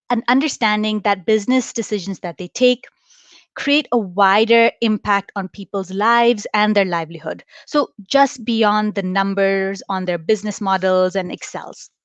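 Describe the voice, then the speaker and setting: A woman's voice: medium pitch, no echo. A woman in her thirties from India giving a live admissions webinar, upbeat and chatty, often checking in with "right?". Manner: upbeat, interactive, explaining with frequent "right?". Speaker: admissions director.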